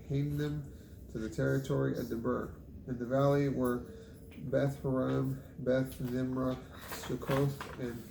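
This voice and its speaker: Male scripture reader, even and unvaried in pitch, stumbles on difficult names, roomy sound with background noise. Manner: halting, stumbles over unfamiliar names. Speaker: Bible reader. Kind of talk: reading scripture aloud. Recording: echoey room with clear background noise.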